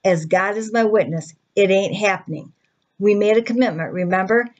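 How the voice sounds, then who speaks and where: A woman's voice: mid-range voice, normal speed, lo-fi sound, natural voice. A woman playing an indignant, defensive, chatty woman who vents with sarcasm in a dramatic screenplay monologue. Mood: exasperated, defiant. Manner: sarcastic, exasperated, conversational venting.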